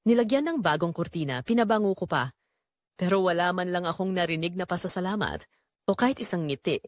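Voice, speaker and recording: A woman playing a wounded middle-aged mother who tells her heartbreak in earnest, deliberate audio drama narration. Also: lowish voice, lively intonation, no echo, clean studio sound, close to an everyday voice. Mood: hurt, reflective.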